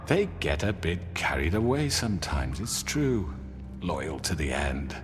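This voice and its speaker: An actor playing a smooth, manipulative crime boss in a video game, with measured, theatrical speechifying. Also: male voice, deep voice, very animated. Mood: calmly persuasive. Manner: measured, theatrical, silky self-righteous speechifying. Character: smooth, manipulative crime boss, self-justifying older man. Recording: reverberant hall sound under loud music.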